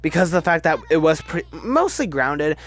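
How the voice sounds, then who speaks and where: A man giving casual, opinionated commentary as a video host, emphatic and halting, often restarting his sentences. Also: fairly high pitch, big pitch swings. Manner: chatty, halting, restarts sentences mid-word. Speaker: movie review video host. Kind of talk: casual ranked-list movie commentary.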